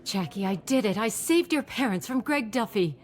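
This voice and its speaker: A woman playing a brisk, determined aunt who works as a detective in a game cutscene. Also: medium pitch, expressive, a little hiss or hum, close to an everyday voice. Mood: urgent, resolute.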